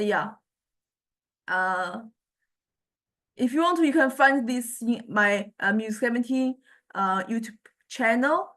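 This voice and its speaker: Woman teacher doing an off the cuff lecture, kinda high voice, hesitant, keeps restarting words. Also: fairly bright tone. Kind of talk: informal, ad hoc lecture.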